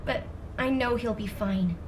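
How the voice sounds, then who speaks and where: A woman's voice: a little high, a little slow, distant mic, a little hiss or hum, lightly acted, low-quality recording. A woman playing a kind, confident young female soldier in a video game, caring toward her teammates. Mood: tender, concerned.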